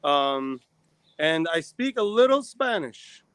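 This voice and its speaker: A man from Israel co-hosting a live talk show, talking fast in a rambling way with many restarts. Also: on the high side, big pitch swings, a bit bright, clear, resonant tone, no room sound. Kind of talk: casual live chat.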